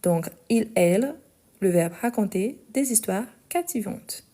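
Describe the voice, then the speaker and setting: A woman teaching a live online French lesson, speaking slowly and carefully, repeating each sentence and spelling out the verb endings. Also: medium pitch, expressive, dark tone.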